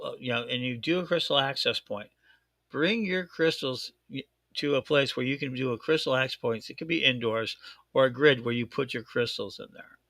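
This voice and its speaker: A man with a thick Chicago accent hosting a casual chat show, talking in an excitable, rambling way and correcting himself often. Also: medium pitch, creaky, dry recording. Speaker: host. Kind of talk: casual live-stream chat.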